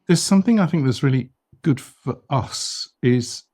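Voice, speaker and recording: A man with a mellow, dark voice and medium pitch co-hosts an interview as a vocal teacher trainer. He explains his points in an emphatic, measured way. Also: expressive. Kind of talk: casual interview chat.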